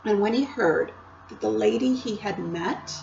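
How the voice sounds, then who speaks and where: A woman, a teacher, reading a storybook aloud in an expressive way and stopping to ask her listeners questions. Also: medium pitch, measured pace, vocal fry, lo-fi sound, slight background noise. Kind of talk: read-aloud storybook narration.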